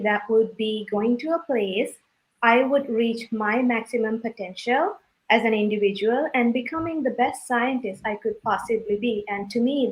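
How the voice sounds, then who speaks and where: Panel guest: woman scientist, slightly high voice, prepared explanatory delivery. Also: rough recording. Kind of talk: prepared self-introduction.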